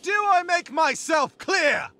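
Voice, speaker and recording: A man's voice: high voice, lively intonation, a little slow, a bit bright, dry recording, soft background music, pitched above the performer's own voice. A man voicing a bold rebel commander in a fantasy visual novel, emphatic and commanding as he addresses his troops.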